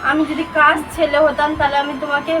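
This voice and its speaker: Casting: woman with a very high voice, flirtatious pleading phone banter, recorded away from the mic over clear music. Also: flat delivery, fast. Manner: coaxing, pleading. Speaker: vlogger making a prank call. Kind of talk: casual flirtatious phone chat. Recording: distant mic with music behind.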